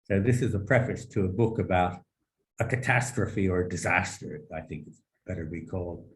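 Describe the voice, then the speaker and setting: A man giving formal policy remarks as a panel speaker, measured and deliberate with long halting pauses and erudite phrasing. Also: low-pitched. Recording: roomy echo with some background noise.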